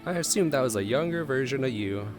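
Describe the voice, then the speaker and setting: A woman doing casual, excitable live reaction commentary on a game, playful and gushing, often repeating phrases. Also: rumbling low, fast, over music. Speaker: gaming reaction streamer.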